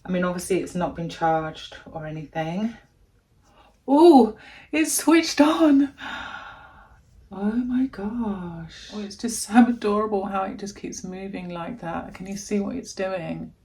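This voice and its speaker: A woman with a deep voice, chatting casually and gushing as she unboxes a gadget in a vlog at home. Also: lively intonation, unhurried, mellow. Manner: gushing, chatty, thinking aloud. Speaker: vlogger.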